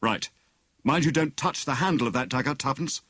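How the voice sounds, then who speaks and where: A man voicing an easygoing, affectionate young husband and amateur detective, brisk and practical, in an audiobook. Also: medium pitch, sharp tone, dry recording, studio quality, pitched above the performer's own voice. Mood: alert.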